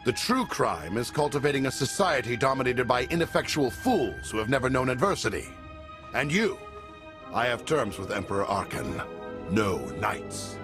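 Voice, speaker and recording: An actor playing a scheming, menacing crime lord and zealot in a video game, with a grandiose, eloquent, theatrical delivery. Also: male voice, mid-range voice, animated, normal speed, over music, close to an everyday voice. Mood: haughty disdain. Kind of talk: video game cutscene acting.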